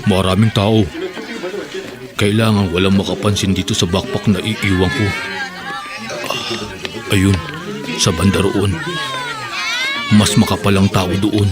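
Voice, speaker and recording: A man's voice: low-pitched, flat delivery, unhurried, pauses often, a crowd in the background. An actor playing a nervous male bomber who mutters to himself while planting a backpack, in a radio drama. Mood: furtive. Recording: radio drama with loud music bed.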